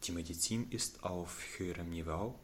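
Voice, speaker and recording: Low-voiced teenage boy with a Ukrainian accent. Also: normal speed, fairly bright tone, slight background noise. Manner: careful non-native phrasing. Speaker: interviewee, refugee student. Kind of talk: interview answers.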